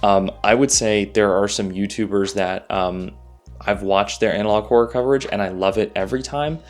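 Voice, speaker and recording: A man with a fairly low voice talks through his opinions like a YouTube commentator. He is conversational and informal, repeating phrases for emphasis, with music playing behind him. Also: steady tone, normal speed. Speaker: YouTuber, analog horror commentator. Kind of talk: informal spoken video commentary.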